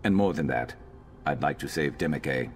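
A man playing a gruff, loyal reptilian companion in a video game, dry and terse with a vengeful streak. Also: extremely low pitch, animated, normal speed. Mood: grim, determined. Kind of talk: video game character acting.

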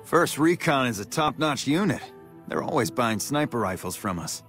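Game guard: confident, wry man, mid-pitched, faint music underneath. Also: big pitch swings, normal speed, fairly bright tone. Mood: matter-of-fact. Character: wry, confident male armed guard, proud of guns. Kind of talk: video game character barks.

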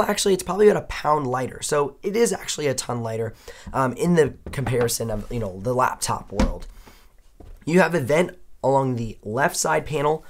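A man in his thirties with a hoarse, worn voice, giving an upbeat, chatty laptop comparison review at a studio desk. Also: medium pitch. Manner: upbeat, chatty, punctuates points with "okay?". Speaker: tech reviewer. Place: indoor studio desk, dim room.

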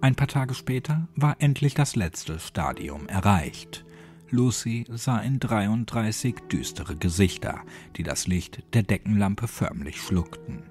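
A man's voice: on the low side, expressive, a little slow, a little mellow. A man reading a scripted horror story as an audiobook narrator. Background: music.

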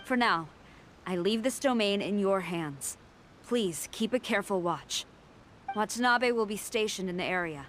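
Military leader played by a woman: young, dutiful, stern and composed, mid pitch, game acting. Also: lively intonation, normal speed, a bit bright, slight background noise, lightly acted. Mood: formal, grateful. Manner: formal, composed.

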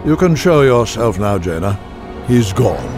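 Casting: gruff old master wizard, low voice with lively swings, dignified and knowing, fantasy game with music. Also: male voice, a little slow. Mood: fond, wry. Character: wise, gruff elder wizard master, fond mentor. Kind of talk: fantasy video game cutscene acting.

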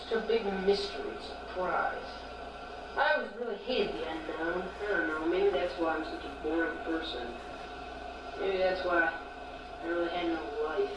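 A gloomy, resigned dead man acted by a man talks haltingly in an amateur drama. The sound is very echoey and far from the mic, with loud noise throughout. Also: fairly high pitch, lightly acted. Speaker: amateur actor in a hobby film. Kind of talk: slow improvised-sounding drama dialogue. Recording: noisy, echoey, distant homemade tape sound.

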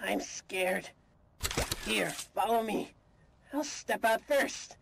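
An actor voicing an excitable, cool but secretly scared chicken toy in cartoon voicelines. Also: medium pitch, slow, gravelly, very noisy. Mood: nervous, pleading. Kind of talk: children's toy character voicelines.

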